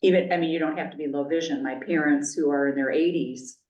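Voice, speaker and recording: A middle-aged woman with a very low voice speaks earnestly and thoughtfully. She sounds far from the mic in a roomy, slightly dull space. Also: fairly even intonation, fast, a little mellow. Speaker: discussion participant. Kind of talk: casual group discussion. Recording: distant, echoey, dull.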